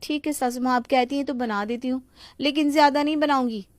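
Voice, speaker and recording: A woman's voice: sits high, fairly even intonation, fairly quick, no room sound, voice pushed up, close to an everyday voice. A woman voicing a young daughter-in-law in a moral story, dutiful and polite but exasperated and complaining.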